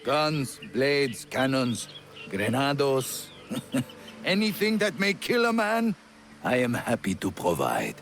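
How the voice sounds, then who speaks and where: Suave French smuggler, swooping and unhurried. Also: male voice, mid-range voice, wildly swooping pitch, fairly bright tone, slight background noise, heavily acted. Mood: admiring, amused. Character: suave, amused male French arms smuggler. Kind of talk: video game cutscene acting.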